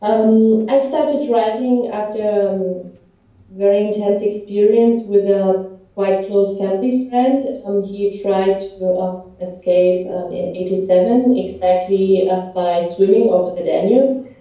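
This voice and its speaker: A woman who grew up in Romania speaks slowly and haltingly, filling her pauses with ums. Her voice is very dark and mellow. She sounds far from the microphone in an echoey pub room, and the sound is dull. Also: mid-range voice. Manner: hesitant, many ums and restarts. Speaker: film director. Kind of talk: interview answers.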